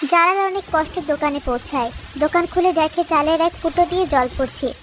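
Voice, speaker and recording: A woman's voice: sits high, fairly quick, background noise. A woman narrator reading scripted moral-story narration for a cartoon. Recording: music behind the voice.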